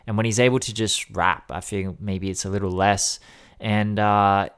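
Earnest man with a low voice. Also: fairly even intonation, normal speed, a bit bright, clean tone. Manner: earnest, analytical, enthusiastic. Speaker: podcast co-host. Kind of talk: album review discussion.

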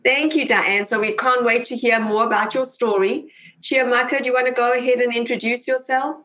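A woman's voice: on the high side, fairly even intonation, fairly quick, bright, forceful tone. A woman hosting an interview show, introducing topics and putting questions to guests. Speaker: host.

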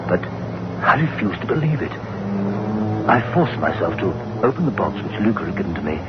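A man's voice: lowish voice, sing-song, brisk. A man playing a frightened, guilt-ridden husband in an old radio horror drama, agitated, defensive and stammering. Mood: haunted, dread. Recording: noisy, muffled old radio recording.

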